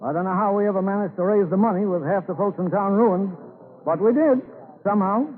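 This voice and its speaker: An actor with an American accent playing a determined, folksy town leader in an old radio drama, with a homespun, emphatic way of talking. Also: male voice, sits high, a little fast, lightly acted. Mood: folksy pride. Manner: homespun, plain-spoken, emphatic. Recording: scratchy, narrow-band old radio recording.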